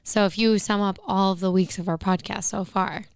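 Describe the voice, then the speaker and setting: A young woman co-hosting a casual chat podcast, with a crisp, smooth voice. She is chatty and laughs often as she tells stories. The sound is dry and close, with no room around it. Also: mid-range voice, steady tone, normal speed, no echo. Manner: chatty, laughs often, acts out quoted people. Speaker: podcast co-host.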